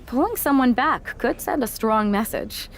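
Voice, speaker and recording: Wry, lively-toned woman wrestler. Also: fairly high pitch, normal speed, slight background noise. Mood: thoughtful. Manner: wry, casual, sardonic. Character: wry, guarded young woman wrestler leading a team. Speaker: player's wrestler character in a video game. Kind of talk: scripted video game cutscene dialogue.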